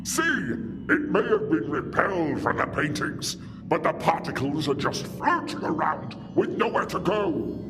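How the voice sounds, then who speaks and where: High-voiced, swooping alien war lord villain. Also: male voice, huge pitch swings, measured pace. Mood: menacing. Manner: theatrical, declamatory. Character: male alien war lord, vengeful, defiant, grandiose villain. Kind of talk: sci-fi audio drama acting. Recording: long reverberant hall, music behind.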